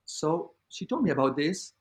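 A man from Argentina, a guest DJ talking in an animated, filler-heavy way in a casual interview. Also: a little high, normal speed. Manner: animated storyteller, many fillers like "like".

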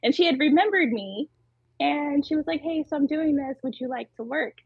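A woman with a small, tiny voice, chatting casually as an interview guest, laughing often and pausing with fillers. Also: high-pitched, expressive, vocal fry, dry recording, narrow, tinny audio. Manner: giggly, hesitant with many fillers. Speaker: muralist guest.

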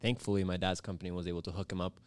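A man's voice: sits low, steady tone, very fast, dry recording. A man in his twenties, from Bolivia, a podcast guest telling his story in an easygoing way, full of "you know" and "like". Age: 20s. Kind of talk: casual interview chat.

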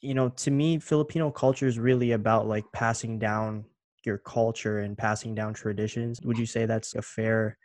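Relaxed young man hosting, dry close sound. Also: fairly low pitch, normal speed, no room sound. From Canada (Ontario). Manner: relaxed, hesitant, with many "um"s and restarts. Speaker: interview host. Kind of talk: casual interview hosting.

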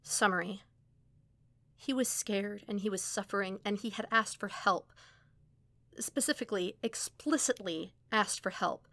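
A woman reading a fan story aloud in a steady, even storytelling manner. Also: mid-range voice, a little slow, gravelly, no echo. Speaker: podfic reader. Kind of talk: scripted narration of a fan story.

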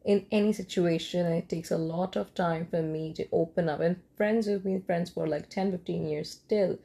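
A young woman from Kolkata, nursing a cold, hosts her own podcast in a loose, rambling late-night monologue. Her voice sits low and carries a gravelly fry. Her tone is dark and mellow, and she often pauses on ums and restarts her sentences. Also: fairly even intonation. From India, Kolkata. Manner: rambling with frequent ums and restarts, light laughs. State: has a cold. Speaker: podcast host.

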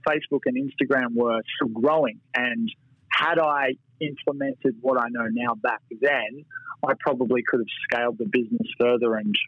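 An Australian man talks in a loose, rambling, upbeat way. His voice comes through a slightly muffled, lo-fi line, with no room echo at all. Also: medium pitch. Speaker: guest. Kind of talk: casual interview chat.

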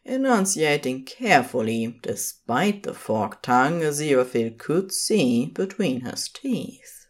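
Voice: medium pitch, very animated, measured pace. A narrator reading scripted fiction for an audio drama.

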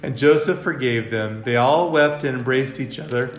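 A man's voice: mid-range voice, moderate pace, lightly acted. A man voicing a kindly but firm older woman in a storybook, scolding in a folksy, motherly way. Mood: gentle. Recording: roomy echo, music behind.

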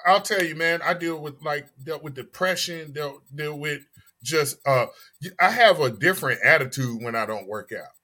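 A man in his early forties hosting a podcast, talking in an animated, emphatic way and often repeating phrases. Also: on the high side, moderate pace. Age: 40s. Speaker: podcast host. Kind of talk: casual chat.

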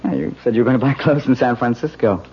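An actor playing an attentive, affectionate newlywed husband in a 1970s radio drama, coaxing and conversational. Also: male voice, mid-range voice, fast, natural voice. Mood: affectionate, eager. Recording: dull, noisy 1970s radio recording.

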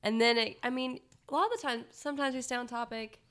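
A woman's voice: on the high side, fairly even intonation, fast talker, a bit bright. A woman of nineteen chatting casually as a podcast guest, peppering her talk with "like". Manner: heavy use of "like". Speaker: podcast guest, college student. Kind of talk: casual chat.

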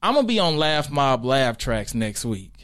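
An American man from the southern US telling stories casually as a guest comedian, in animated, slangy talk. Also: mid-range voice, moderate pace, clean tone. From Arkansas and St. Louis, southern US. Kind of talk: casual storytelling chat.

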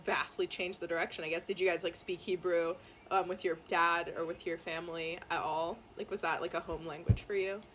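A woman's voice: lowish voice, flat delivery, a little fast, background noise. A young college-age woman interviewing a fellow student, chatty and enthusiastic with lots of "like" and "um". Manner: enthusiastic, chatty, many fillers. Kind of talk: casual interview.

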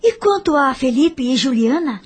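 An actress with a Brazilian accent playing a grateful, resolute housewife in a radio soap, speaking in an earnest, heartfelt way. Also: female voice, high voice, lively intonation, moderate pace, darkish tone. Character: grateful, resolute stepmother, a worried younger housewife. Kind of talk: radio soap opera acting.

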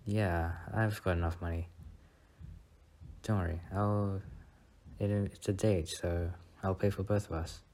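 A young man playing a shy, sweet student boyfriend on a first date in a soft-spoken ASMR roleplay, speaking in a hesitant, bashful way. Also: low-pitched, steady tone, a little slow, pauses often. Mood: shy, hopeful.

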